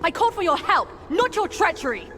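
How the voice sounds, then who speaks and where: An actress playing an earnest young daughter of a demon family in a video game, impassioned, pleading and formal. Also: female voice, very high voice, big pitch swings, brisk. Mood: indignant, desperate. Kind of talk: dramatic video game cutscene acting. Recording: long hall reverb over music.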